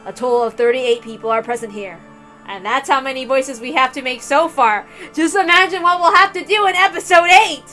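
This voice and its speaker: A woman narrates a visual novel in a fairly high voice. Her reading is expressive, broken by casual asides, with music faintly playing behind her. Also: brisk.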